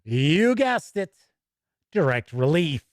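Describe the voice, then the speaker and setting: A man's voice: on the high side, sing-song, slow, no room sound. A man hosting a tabletop gaming news stream, reading items aloud casually and adding chatty, informal asides.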